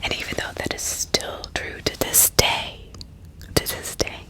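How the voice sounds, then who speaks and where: A woman narrating true crime in a breathy ASMR whisper, deliberate and often repeating words and phrases. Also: bright, forceful tone. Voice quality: breathy, mostly unvoiced whisper. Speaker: ASMR host.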